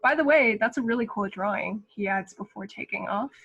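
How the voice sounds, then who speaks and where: A woman's voice: mid-range voice, a little fast, gravelly, a bit bright, breathy edge, lo-fi sound. A woman reading a children's picture book aloud. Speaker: read-aloud reader.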